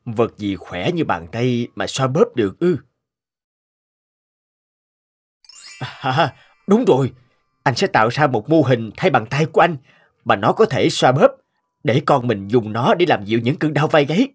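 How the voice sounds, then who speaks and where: A man voicing a devoted, determined inventor father in an animated cartoon, speaking earnestly and expressively. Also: medium pitch, normal speed, husky. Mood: thoughtful. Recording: music behind the voice.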